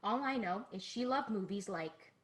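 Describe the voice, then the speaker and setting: Woman doing a naive bubbly girl, chatty kid-host vibe, earnest, online play monologue, medium pitch. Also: expressive, moderate pace, close to an everyday voice. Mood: fond, wistful. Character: sheltered, chirpy, naive young girl making a cooking video. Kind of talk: dramatic monologue as online cooking show.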